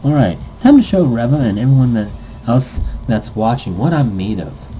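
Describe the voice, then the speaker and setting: A man's voice: mid-range voice, lively intonation, moderate pace, mellow, a little hiss or hum, rough recording. A man casually reading a visual novel game aloud, stumbling over lines and tossing in goofy asides.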